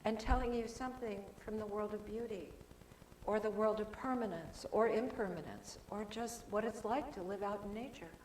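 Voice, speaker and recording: A soft-spoken woman giving a chatty, informal talk as a guest speaker at a church service, with plenty of restarts. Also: mid-range voice, moderate pace. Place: church sanctuary. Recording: echoey hall with faint room noise.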